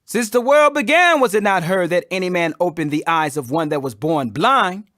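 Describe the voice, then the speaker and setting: A man's voice: high voice, animated, normal speed, a bit bright, dry recording, clean studio sound. A man voicing a plainspoken, defiant beggar healed of blindness, emphatic and declamatory, in a scripture reading.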